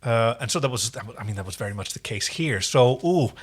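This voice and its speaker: A man's voice: mid-range voice, lively intonation, quick pace, slightly rough. A man co-hosting a podcast, talking casually and with enthusiasm about an episode. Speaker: podcast co-host. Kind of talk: casual episode review chat.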